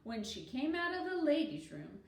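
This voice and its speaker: A woman voicing a self-assured young schoolgirl storyteller in an emphatic, deliberate, instructive way for a storybook. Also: high-pitched, big pitch swings, vocal fry, reverberant, recorded from a distance, exaggerated voice. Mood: theatrical storytelling.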